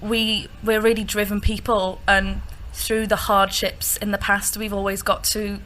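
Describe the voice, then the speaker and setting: A woman's voice: medium pitch, a little slow, poor audio. A woman from Liverpool with a Liverpool accent, a musical theatre actress chatting proudly and expressively. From Liverpool, England. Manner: proud, expressive, sings snippets.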